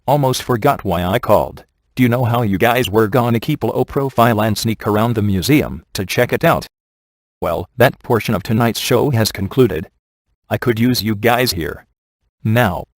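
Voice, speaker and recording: A wisecracking middle-aged ghost hunter played by a man for a video game, speaking in a fairly low voice. He is cocky and flirtatious, tossing off sarcastic one-liners with dry glibness. The sound is very close and free of room echo. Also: lightly acted. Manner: dry, sarcastic, glib delivery.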